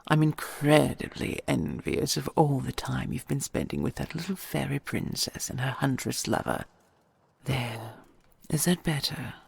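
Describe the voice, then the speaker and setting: A woman playing a possessive, doting dragon queen in an audio roleplay, speaking in a breathy, creaky near-whisper with regal, formal tenderness. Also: rumbling low, expressive, dry recording, heavily acted. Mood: simmering jealousy. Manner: slow, regal, formal endearments with soft sighs.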